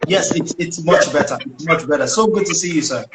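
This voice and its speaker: High-pitched male host in an echoey room. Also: brisk. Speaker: show host, interviewer. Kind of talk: live online interview, conversational hosting. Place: small indoor room. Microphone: close phone or webcam with wired earphones on video call. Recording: roomy echo, faint noise, clicks.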